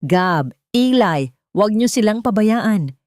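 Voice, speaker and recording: A woman's voice: medium pitch, lively intonation, takes it slowly, a little mellow, dry recording, clean studio sound, pitched above the performer's own voice. A woman voicing a desperate housemaid in an audio drama, urgent and pleading. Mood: desperate crying out. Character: desperate, pleading young housemaid exposing a murder.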